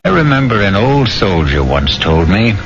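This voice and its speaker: A man hosting a 1970s mystery radio series, narrating in a measured, theatrical, dramatic style. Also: fairly low pitch, big pitch swings, heavy background noise. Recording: dull 1970s radio recording, loud music behind.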